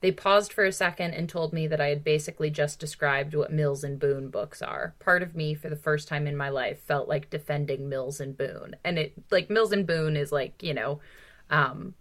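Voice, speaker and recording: A woman's voice: sits low, moderate pace. A woman co-hosting a podcast, discussing books casually and with opinions, talking in an emphatic, exasperated way and swearing freely. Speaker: podcast co-host.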